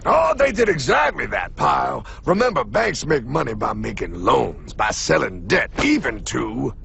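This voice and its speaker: A man doing a gravelly, swaggering cartoon guide. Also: mid-range voice, very animated, unhurried, background noise, full character voice. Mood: knowing. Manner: swaggering, drawling, calls people pal. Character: gravelly, cocky male guide from the past. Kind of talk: cartoon voice acting. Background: loud music.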